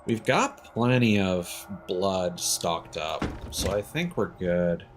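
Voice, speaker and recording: Low-voiced man musing through a game stream. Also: very animated, slow, over music. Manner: musing, thinking aloud. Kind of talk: casual live gameplay commentary.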